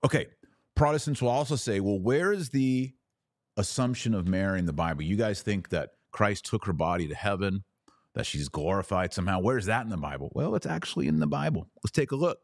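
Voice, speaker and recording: A middle-aged man giving an informal theological explainer as a podcast host, speaking in an emphatic, didactic way with pauses on key phrases. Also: fairly low pitch, lively intonation, vocal fry, dry recording, studio quality.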